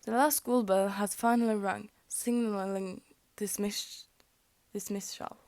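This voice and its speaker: A woman's voice: medium pitch, steady tone, measured pace, darkish tone, no echo. A young woman whose first language is Norwegian, reading fan fiction aloud, often tripping over words and laughing at her slips. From Norway. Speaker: fanfic reader.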